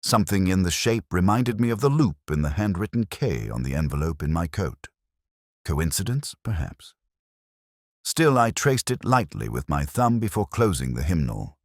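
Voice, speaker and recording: A man narrating a scripted first-person detective story in a measured, solemn, literary manner. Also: low voice, very animated, no echo, studio quality.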